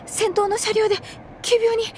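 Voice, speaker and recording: Woman acting high, urgent, pleading female passenger. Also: level tone, slight background noise. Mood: flustered, anxious. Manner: urgent, halting, stammering. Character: anxious, pleading woman passenger calling for medical help.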